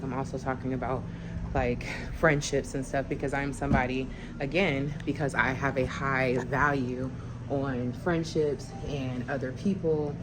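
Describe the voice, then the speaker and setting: A young woman with a low voice speaks bluntly and with feeling, straight to her viewers in a candid vlog. Room noise sits clearly behind her voice. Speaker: vlogger. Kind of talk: candid talking-to-camera chat.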